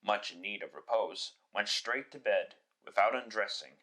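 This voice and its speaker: A man narrating a classic story in a scripted, dramatic style with measured, literary delivery. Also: lowish voice, sharp tone.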